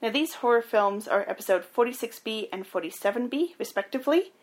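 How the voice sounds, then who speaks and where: Chatty woman podcast host with higher voice. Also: expressive, fairly quick. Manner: chatty, informative recapping. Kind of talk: casual podcast chat.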